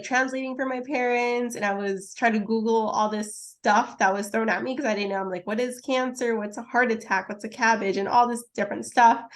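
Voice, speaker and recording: A woman, a resident physician, telling her story on a panel in a lively, chatty way full of fillers. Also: on the high side. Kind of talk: casual panel talk.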